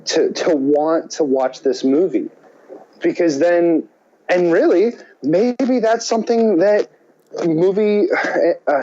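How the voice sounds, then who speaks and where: A man co-hosting a podcast in casual chat, halting and circling around his point as he talks. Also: a little high, warm tone, dull audio. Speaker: podcast co-host.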